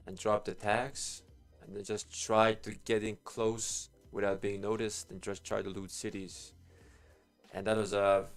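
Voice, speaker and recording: A man giving casual, rambling game commentary as a live streamer, thinking aloud in informal language. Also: fairly low pitch. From Bosnia and Herzegovina.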